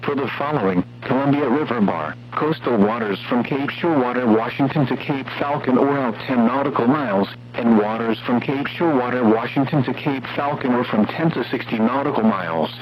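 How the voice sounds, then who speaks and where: A synthetic male text-to-speech voice with an American accent, reading formal weather warning bulletins in a measured, impersonal way over a radio broadcast. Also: mid-range voice. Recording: muffled lo-fi radio with faint hum.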